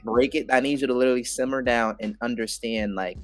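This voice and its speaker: A man's voice: mid-range voice, steady tone, quick pace. A man co-hosting a podcast, chatting loosely with lots of fillers. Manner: casual, lots of "like" and "you know". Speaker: podcast co-host. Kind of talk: casual interview talk.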